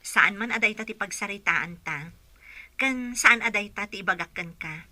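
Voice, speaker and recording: A woman's voice: medium pitch, normal speed, pushed and bright, no echo. A woman playing an eager, coaxing, businesslike woman in an audio drama, conversational in delivery.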